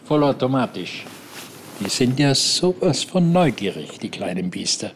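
An actor playing a stern, condescending official who interrogates and fines a hiker in a dry tone. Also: male voice, medium pitch, sing-song, unhurried, slight background noise. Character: stern, smug male nature-reserve enforcer.

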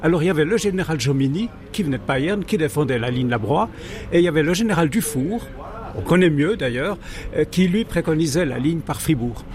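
A man's voice: fairly high pitch, expressive, a little fast, darkish tone. A man with a Swiss French accent explaining history conversationally as a guest, hesitant, digressive and good-humoured. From French-speaking Switzerland, Jura arc. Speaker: guest, amateur railway historian.

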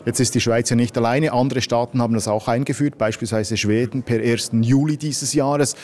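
A man's voice: medium pitch, fairly even intonation, fairly quick, a little mellow. A man interviewing for a news programme, putting prepared questions with some background.

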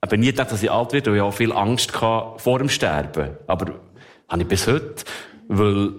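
A man's voice: lowish voice, normal speed. A man in his thirties with a Swiss German accent, a guest telling stories in casual conversation, laughing often and restarting his sentences. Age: 30s.

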